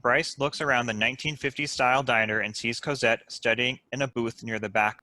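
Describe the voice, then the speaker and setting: Bright, dry-sounding man reading stage directions. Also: medium pitch, fairly even intonation, fairly quick, no room sound. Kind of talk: scripted narration.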